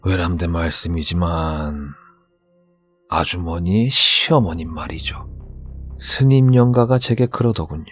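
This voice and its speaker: A man's voice: low-pitched, a little slow, faint music behind. A man playing a sly, candid shaman in a horror radio drama, speaking in a knowing, conversational way with dramatic emphasis. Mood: grave, knowing. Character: sly, candid shaman confiding about vengeful ghosts.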